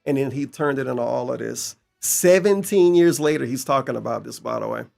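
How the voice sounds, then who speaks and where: A man's voice: mid-range voice, animated, brisk, vocal fry, darkish tone, soft background music. A man giving casual, mocking reaction commentary as a streamer, incredulous, sarcastic and profane.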